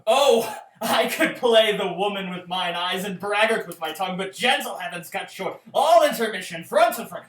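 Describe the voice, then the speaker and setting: A woman's voice: mid-range voice, lively intonation, normal speed, hardly any pauses, close to an everyday voice. A woman acting a bereaved noble warrior in a Shakespearean radio play, with impassioned, dramatic swings of delivery, in a roomy, slightly distant recording. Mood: vengeful grief.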